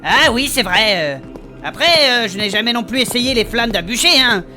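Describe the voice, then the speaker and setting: A man's voice: very high voice, expressive, moderate pace, sharp tone, heavily acted. A man playing a good-natured, easily confused adventurer in a French fantasy comedy audio drama, speaking in a hesitant, colloquial way. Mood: chatty, naive. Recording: voice over background music.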